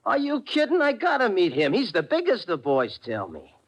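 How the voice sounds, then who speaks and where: A cocky young gangster boss from a radio crime drama. He swings widely in pitch as he barks slangy, bossy orders with tough swagger. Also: wildly swooping pitch, moderate pace, full character voice. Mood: excited, irritated. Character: brash, cocky young gangster boss posing as a dead leader. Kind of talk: old-time radio crime drama acting.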